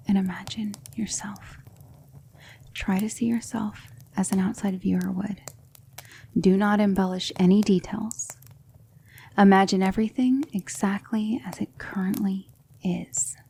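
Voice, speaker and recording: Woman as a gentle, creaky-voiced demonic mentor. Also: medium pitch, steady tone. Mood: hushed, coaxing. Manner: measured, instructive, hushed. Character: gentle demonic female mentor of magic, patient and reassuring. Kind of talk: ASMR roleplay, soft speech slipping into whispers.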